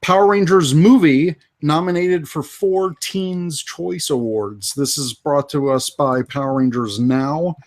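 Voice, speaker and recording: Man, podcast co-host, slow steady pace, slightly raised pitch, lots of uh fillers, live-stream chat. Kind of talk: casual news chat, live.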